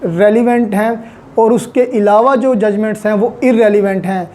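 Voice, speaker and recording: A woman's voice: medium pitch, steady tone, moderate pace, dark tone. A woman with a Pakistani accent lecturing as a law teacher, explaining methodically in short phrases and repeating key points. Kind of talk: explanatory lecture reviewing legal articles.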